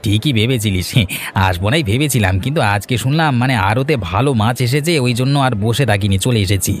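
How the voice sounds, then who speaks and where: A man voicing a brisk, easygoing cartoon fisherman. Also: mid-range voice, lively intonation. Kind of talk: cartoon dialogue.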